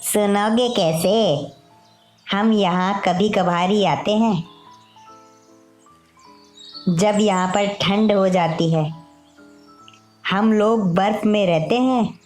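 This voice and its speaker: Lady doing a friendly penguin for a kids moral story cartoon, talks slow, soft music underneath. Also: medium pitch, natural voice. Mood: polite, curious. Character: friendly male penguin visiting from Antarctica. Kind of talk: Hindi animated moral story dialogue.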